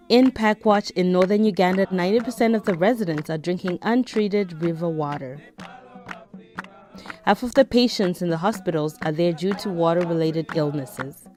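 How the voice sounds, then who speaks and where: A woman giving calm, informative scripted narration for a documentary. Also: on the low side.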